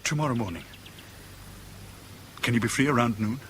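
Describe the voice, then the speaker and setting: A man's voice: mid-range voice, normal speed, fairly bright tone, close to an everyday voice. An actor in a vintage radio drama playing a lovesick, self-pitying man in his forties, wry and intense. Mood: urgent, intimate. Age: 40s. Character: lovesick, self-pitying forty-year-old English businessman. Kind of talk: 1970s British radio drama acting. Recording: faintly hissy vintage radio drama recording.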